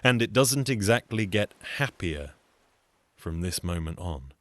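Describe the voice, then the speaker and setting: A man's voice: sits low, expressive, unhurried, fairly bright tone, no room sound. A man performing theatrical folktale storytelling, with dry, wry asides.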